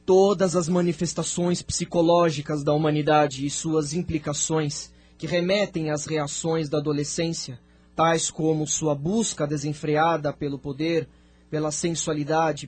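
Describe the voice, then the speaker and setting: A man with a Brazilian accent playing a venerable, thoughtful spirit sage in an audio drama, speaking in a measured, formal, declamatory way. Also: a little high, lightly acted. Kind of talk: scripted audio drama dialogue.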